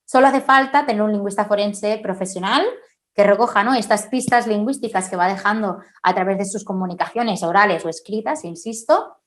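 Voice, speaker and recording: A woman forensic linguist from Spain, answering questions at a conference in an explanatory way, often tagging her sentences and filling pauses. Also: mid-range voice. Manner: explanatory, frequent "¿no?" tags and "eh" fillers.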